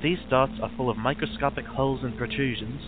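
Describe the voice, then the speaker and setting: A man reading scripted mock-documentary narration in a formal, measured, clinical manner. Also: medium pitch, fairly even intonation. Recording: band-limited lo-fi audio with background music. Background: music.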